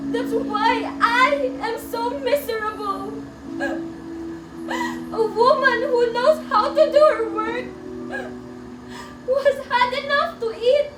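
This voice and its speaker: A very high-pitched, slow-spoken old peasant woman in a radio drama, acted by a woman, poor, lonely and deep in grief. She pleads haltingly and tearfully. The recording is roomy and distant, with music behind her.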